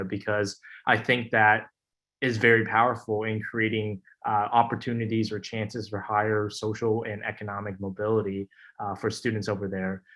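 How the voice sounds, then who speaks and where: A young man sharing his story as a webinar panelist over a video call, earnest and halting with frequent ums and uhs. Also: lowish voice, a bit bright.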